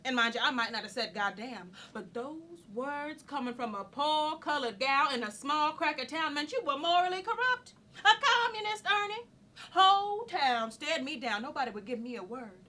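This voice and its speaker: A woman's voice: sits high, expressive, normal speed, pushed and bright. An actress playing a proud, outspoken, worldly radical woman from a small Southern town, telling her story emphatically in a stage monologue. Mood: worldly, assured. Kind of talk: theatrical monologue.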